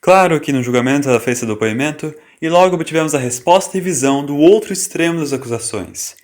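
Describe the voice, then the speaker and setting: A man playing an audio drama narrator who addresses the listener directly in a measured manner. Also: on the high side, animated, darkish tone, lightly acted. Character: interrupting storyteller narrator weighing both sides. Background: music.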